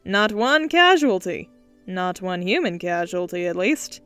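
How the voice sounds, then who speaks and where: A smooth-talking wealthy industrialist man, voiced by a woman in an audiobook. He speaks in polished, formal phrases with glib confidence. Faint music runs underneath. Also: on the low side, sing-song, a little slow, fairly bright tone, no echo.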